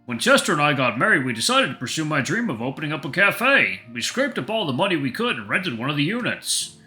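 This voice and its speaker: Guy doing a folksy chatty cafe owner in a story, friendly and proud, really bright n animated. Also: a little high, fast, soft background music, heavily acted, rough recording. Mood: fond, chatty.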